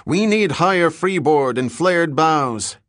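A man's voice: on the high side, lively intonation, slow, fairly bright tone, dry recording, clean studio sound, voice pushed up. A man playing a blunt, commanding navy sea captain in an audiobook, emphatic and instructing as he explains. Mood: excited, exasperated. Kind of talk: dramatic audiobook character reading.

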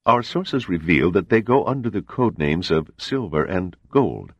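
A man doing a calm, impassive intelligence official. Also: sits low, breathy edge, highs cut off, voice pushed up, exaggerated voice. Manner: measured, evasive official tone.

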